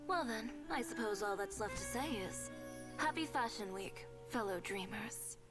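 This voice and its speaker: A woman's voice: a little high, animated, moderate pace, lightly acted. An actress voicing a proud, cool, uncompromising young woman fashion designer in a dramatic video game, composed and assertive. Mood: proud, resolute. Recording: music behind the voice.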